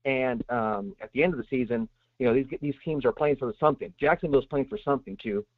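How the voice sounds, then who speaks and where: A man's voice: mid-range voice, fast, no echo. A man calling into a sports show, rambling with lots of fillers as he gives his view. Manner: rambling, many fillers and restarts. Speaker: caller. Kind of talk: call-in sports opinion. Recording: tinny phone line.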